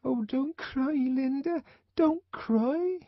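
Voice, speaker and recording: A man's voice: very high-pitched, very slow, no echo, muffled recording, voice pushed up, exaggerated voice. A man voicing a frightened little boy in an audiobook, pleading with his mother in a thin, strained, tearful voice. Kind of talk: audiobook character voice.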